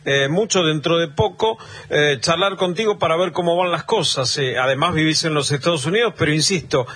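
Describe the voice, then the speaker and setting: Man, Argentine accent, radio host, husky, somewhat high, measured, eh fillers. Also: fairly bright tone. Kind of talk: radio interview.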